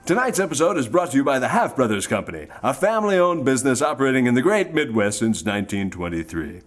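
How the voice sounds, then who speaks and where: A man delivers old-time variety show sponsor patter. His pitch is lively and swings freely, and his manner is that of a folksy, theatrical salesman addressing listeners directly. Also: fast, soft background music.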